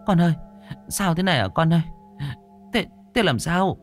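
A man's voice: lively intonation, normal speed, soft background music, natural voice. A man voicing a frantic elderly mother who fusses and scolds. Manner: shrill, fussing, repeats herself.

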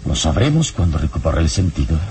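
A serene adventurer and mentor in an old radio drama. His voice is exceptionally deep, with wide melodic swings, solemn and reassuring. A little noise sits on the recording. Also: big pitch swings, fast talker, a little mellow, exaggerated voice. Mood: grave, explaining. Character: calm, wise, fearless adventurer hero and mentor. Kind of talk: old radio serial acting.

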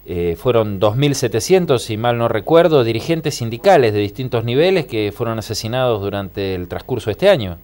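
A man with an Argentine accent hosting a radio interview, speaking in a measured, informative way. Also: mid-range voice, expressive, a little mellow. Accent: Argentine Spanish. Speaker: radio host.

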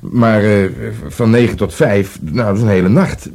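An actor playing an awkward, dutiful police sergeant in a radio play, hesitant and stammering. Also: male voice, fairly low pitch, normal speed, darkish tone, lightly acted. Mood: insistent, businesslike.

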